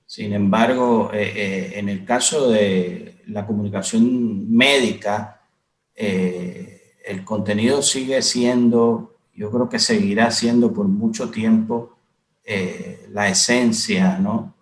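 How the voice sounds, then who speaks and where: A man from Cuba moderating a webinar panel, talking in a measured way with many hesitant "eh" fillers. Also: on the low side, steady tone. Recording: echoey room.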